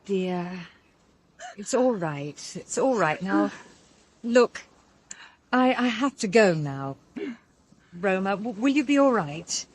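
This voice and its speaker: An actress with a British accent playing a gentle, earnest woman investigator in an audio drama, polite and soft-spoken. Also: medium pitch, expressive, takes it slowly, pauses often, slightly rough. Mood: concerned, soothing. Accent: British English. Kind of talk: full-cast audio drama acting.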